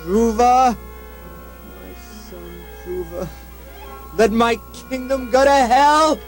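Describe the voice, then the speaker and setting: A man's voice: extremely high pitch, extremely slow, soft background music, heavily acted. A man playing a remorseful king and anxious father with anguished, melodramatic puppet show delivery. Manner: anguished, dramatic.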